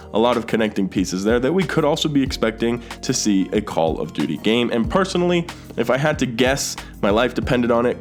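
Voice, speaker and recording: Male YouTube commentator over background music. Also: mid-range voice. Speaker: YouTube host. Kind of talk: scripted-sounding movie and gaming news commentary to camera.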